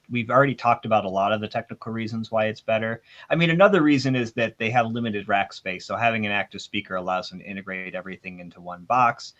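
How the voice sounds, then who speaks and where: A man with a fairly low voice speaks as an expert in an informal technical discussion. He explains things in an opinionated way. The recording is somewhat dull and lacks top end. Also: a bit bright. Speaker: audio expert panelist. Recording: dull, band-limited sound.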